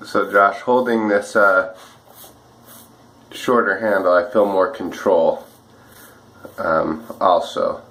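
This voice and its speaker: A man with a slightly rough, dry voice, chatting casually and a bit ramblingly while he shaves at home for his vlog. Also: sits low, steady tone, slow pace, pauses often, a bit bright, rough recording. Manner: easygoing, rambling, many fillers and restarts. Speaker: wet shaving vlogger. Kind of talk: casual talk while shaving.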